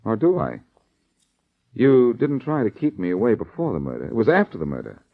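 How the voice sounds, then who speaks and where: An American actor playing a cocky, wisecracking amateur detective in 1940s radio style, flippant and quick-witted. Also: male voice, fairly low pitch, huge pitch swings, heavily acted. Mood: cool, probing. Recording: dull, lo-fi old radio recording.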